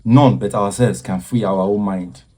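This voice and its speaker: A man speaks with earnest, preaching conviction and often stops to repeat words. His voice is dark and mellow with a husky edge. He lectures like a commentator making a case. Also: fairly low pitch, unhurried. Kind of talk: impassioned social commentary.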